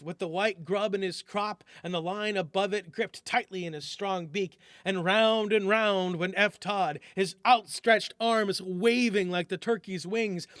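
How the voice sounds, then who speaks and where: Male storyteller, expressive read-aloud, high voice, tight and dry sound. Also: dry recording. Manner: lively, raises pitch and volume for shouted character lines.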